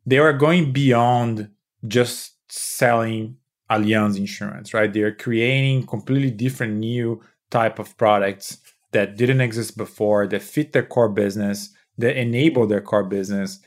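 A man's voice: lowish voice, fairly even intonation, measured pace. A man in his thirties from Brazil with a Brazilian Portuguese accent, explaining at length in a podcast interview, with frequent restarts. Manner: explanatory, many restarts and "you know". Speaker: startup founder and CEO.

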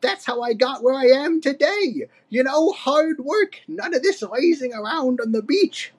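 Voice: sits high, animated, measured pace, slightly rough, pitched above the performer's own voice, full character voice. A storyteller voicing a cranky, boastful old male ape in a storybook, mocking and grumbling. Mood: scornful, boastful. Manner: snorting, grumbling, scornful. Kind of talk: storybook character reading.

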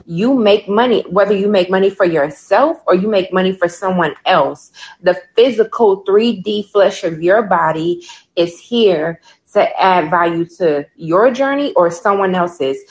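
A woman from Chicago co-hosts a casual podcast, her voice sitting a little low. She tells stories in an earnest, animated way, with easy fillers along the way. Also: rough recording. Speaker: podcast co-host. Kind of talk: casual chat.

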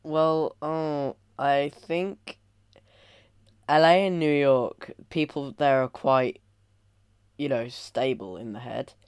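A man co-hosting a casual podcast, playfully reasoning out loud while guessing quiz answers. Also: medium pitch, slow pace, clean tone, dry recording. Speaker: podcast co-host. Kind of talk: casual chat.

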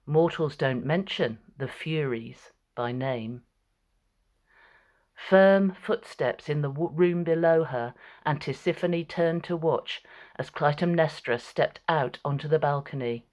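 A woman with a low voice narrates a dramatic story from a script. She takes it very slowly, giving the prose room. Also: a little mellow.

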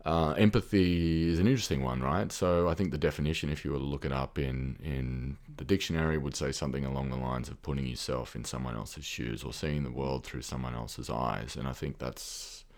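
A man's voice: a bass voice, fairly quick, hardly any pauses, darkish tone. A middle-aged Australian man with an Australian accent, a podcast guest speaking reflectively, with many ums and restarts. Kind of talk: reflective conversational talk.

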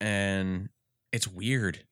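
Congested deep-voiced man chatting games. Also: very slow, clean tone, dry recording, studio quality. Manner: rambling, animated retellings. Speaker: podcast co-host. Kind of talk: casual gaming chat.

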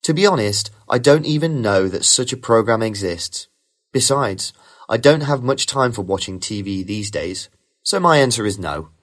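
A man reading rehearsed model answers for a speaking test in a smooth, even way. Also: lowish voice, moderate pace. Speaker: sample test candidate.

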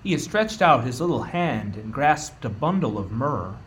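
A man acts a grave but tender wise man in a radio play. He speaks in a measured, formal way at a middling pitch. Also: big pitch swings, fairly bright tone.

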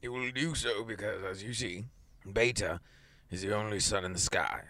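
A man putting on a gravelly, stern old astronomer. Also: lowish voice, moderate pace, bright, forceful tone, no echo. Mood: indignant. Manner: formal, pedantic and indignant. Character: stern elderly male astronomer director, pedantic.

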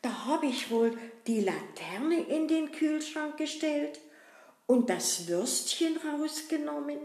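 A woman's voice: high-pitched, animated, takes it slowly, darkish tone, exaggerated voice. A woman voicing a greedy, good-natured puppet boy who chats away fussily and makes excuses. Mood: chatty. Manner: chatty, fussy, self-excusing. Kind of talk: puppet-show acting. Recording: roomy echo.

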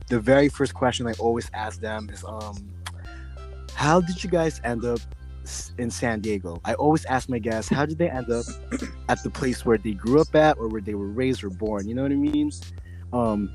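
Laid-back podcast host, lots of ums. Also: male voice, mid-range voice, animated, normal speed, darkish tone, faint music behind. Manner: laid-back, hesitant with many ums and restarts. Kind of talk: casual interview hosting.

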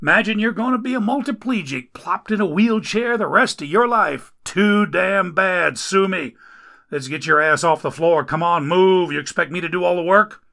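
A man voicing a griping, foul-mouthed male rescuer who grumbles loudly in folksy slang. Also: high-pitched, moderate pace, vocal fry, pitched above the performer's own voice, full character voice.

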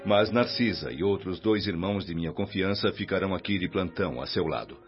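Guy playing calm wise supervisor in a brazilian radio play, really low voice, reassuring, faint music behind. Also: muffled recording, lightly acted. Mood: trusting, businesslike. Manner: didactic, measured, reassuring. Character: calm, kindly male spirit mentor and supervisor. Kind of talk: Brazilian radio drama acting.

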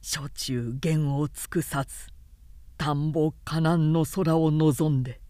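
A woman's voice: low-pitched, steady tone, takes it slowly, mellow, dry recording. A woman voicing a sorrowful, humble lord reading out his formal, literary letter in a grave manner for an audiobook. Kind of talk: audiobook character acting.

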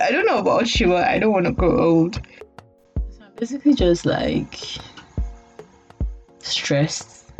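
A woman's voice: lowish voice, darkish tone. A woman in her late twenties with a Nigerian accent, hosting a podcast as a rambling, self-mocking monologue broken up by frequent laughs. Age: late 20s. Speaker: podcast host. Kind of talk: casual storytelling monologue. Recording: dull lo-fi audio with faint music.

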